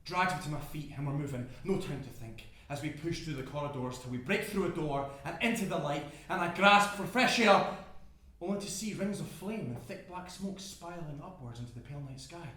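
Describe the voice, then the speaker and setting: A desperate oil rig worker in a stage drama. He is intense and emphatic, with a sharp, forceful voice that swings widely in pitch. Heavy echo surrounds every word. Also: animated, brisk. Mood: urgent, breathless. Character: desperate oil rig worker escaping fire, terrified of dying alone. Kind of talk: dramatic first-person stage monologue. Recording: very echoey room.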